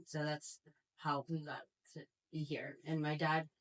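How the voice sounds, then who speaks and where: Lower-pitched American woman telling her story. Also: flat delivery, measured pace, low-quality recording. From New York City, United States. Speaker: panel guest, corporate finance director. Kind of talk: personal storytelling in conversation.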